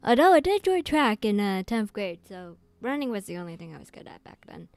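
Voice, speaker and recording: A woman's voice: mid-range voice, very animated, brisk, darkish tone. A woman streaming a game live, chatting casually with her viewers in a rambling, giggly way with many fillers.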